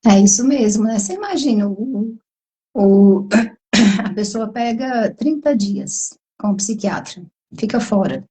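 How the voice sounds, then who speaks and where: A woman psychologist with a Brazilian Portuguese accent, hoarse from a cold, explaining at length in a live online conversation and tagging her sentences with questions. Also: on the high side, expressive, slow pace, warm tone, rough recording. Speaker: psychologist guest.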